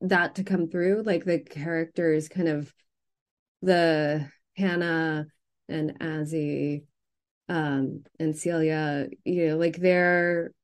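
Woman, interviewed author, lowish voice, unhurried pace, halting, reflective, conversational. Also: fairly even intonation. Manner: halting, circling thoughts. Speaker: interviewed novelist.

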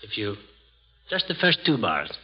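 A man appearing as a guest on a radio quiz, putting formal, measured questions to children in a slightly halting way. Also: on the low side, big pitch swings, husky. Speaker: guest musician quizzing children. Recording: dull lo-fi vintage radio recording.

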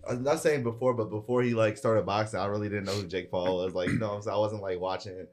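A man's voice: on the low side, fairly even intonation, fast talker, darkish tone. A man co-hosting a podcast in casual, slangy chat, swearing freely. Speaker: podcast co-host.

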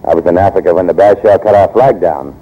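An actor playing a bold, headstrong young American consul in old-time radio drama, cocky, forceful and plain-spoken, with an American accent. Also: male voice, low voice, fast. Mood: eager, insistent. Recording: dull vintage radio recording.

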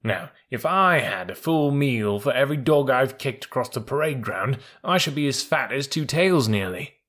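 A man's voice: medium pitch, lively intonation, moderate pace, a bit bright, voice pushed up. A man voicing a blunt, scornful male army mule in a storybook, dry and mocking in manner.

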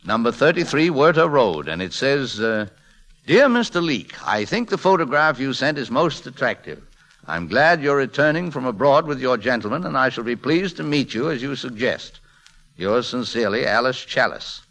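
Mahcup, kekeleyen İngiliz beyefendi, parlak sesli. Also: male voice, medium pitch, very animated, moderate pace, a bit bright. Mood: awkward, sheepish. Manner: hesitant, stammering, indignant when challenged. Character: shy, flustered English gentleman posing as a valet. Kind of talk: classic radio drama acting.

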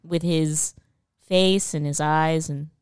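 A woman's voice: low-pitched, fairly even intonation, slow, darkish tone, clear, resonant tone, no room sound. Joking woman podcast co-host, dry sound. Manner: playful, joking, laughs often. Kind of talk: casual chat.

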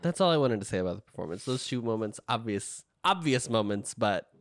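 A man's voice: on the low side, very animated, fairly quick, no echo, clean studio sound. A man co-hosting a casual reaction chat, talking playfully with dramatic asides. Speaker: podcast co-host.

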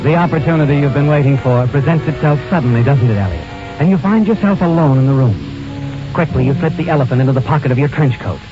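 A knowing, ominous radio suspense narrator played by a man, medium in pitch. Music plays clearly behind him on a dull vintage recording. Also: quick pace, natural voice. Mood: knowing, suspenseful. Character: knowing, ominous storyteller addressing the wrongdoer. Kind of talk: 1940s radio suspense narration in second person. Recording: muffled old radio recording. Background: clear background music.

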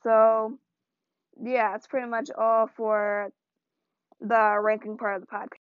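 A woman's voice: fairly high pitch, level tone, takes it slowly, no echo. A woman hosting a podcast in casual, rambling talk, her voice tired and strained. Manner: rambling, loose and chatty. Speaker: podcast host. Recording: dull lo-fi, dry sound.

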